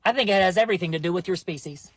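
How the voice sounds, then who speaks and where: A man's voice: high-pitched, rapid-fire, fairly bright tone, dry recording, studio quality. A man doing a comic rant, slangy and laughing as he goes, calling the listener bro. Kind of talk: comedic rant.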